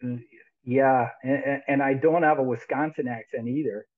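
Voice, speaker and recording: A man's voice: mid-range voice, steady tone, normal speed. Sixty-something male guest musician on a thin, phone-quality video-call line; chatty and rambling, laughs a lot. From United States. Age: 60s. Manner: rambling, laughs often, many restarts. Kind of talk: casual interview over video call. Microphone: headphones on a video call.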